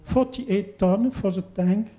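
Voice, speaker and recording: A man from Belgium, an audience member at a panel event, asking a long and deliberate question. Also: sits high, mellow. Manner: slow, deliberate, repeats for emphasis.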